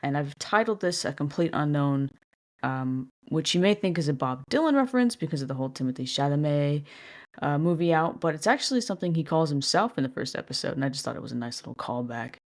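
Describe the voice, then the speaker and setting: A woman hosting her own casual podcast, talking alone into the mic about a show she loves. Her delivery stays flat and monotone, though she is chatty and self-deprecating, with the odd swear word. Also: low-pitched, fast talker. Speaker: podcast host. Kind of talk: casual solo commentary.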